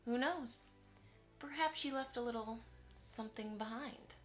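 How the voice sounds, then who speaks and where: A woman's voice: on the high side, unhurried, faint music behind, lightly acted. A woman playing a polite, chatty pony mother who keeps the peace in an audio drama.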